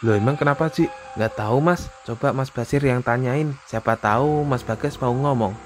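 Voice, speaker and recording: A man voicing a young Indonesian hiker, a practical, concerned friend talking colloquially in an animated horror story. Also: mid-range voice, moderate pace, slight background noise, close to an everyday voice. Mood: casual, practical. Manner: casual, colloquial. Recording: music behind the dialogue.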